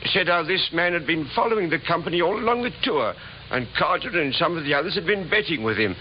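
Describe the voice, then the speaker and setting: An actor playing a timid old stage doorkeeper in a radio drama, speaking hesitantly and deferentially with a slight stammer. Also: male voice, a little high, lively intonation, fast, natural voice. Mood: deferential, earnest. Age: older. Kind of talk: 1950s radio drama acting. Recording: scratchy, dull 1950s radio recording.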